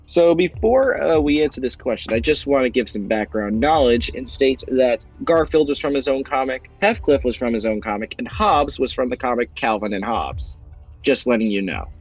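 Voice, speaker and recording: A man hosting a quiz show, reading questions with drawn-out pauses for suspense. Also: mid-range voice, lively intonation, lo-fi sound, faint music behind. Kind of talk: hosting a voiced game show on a video game platform.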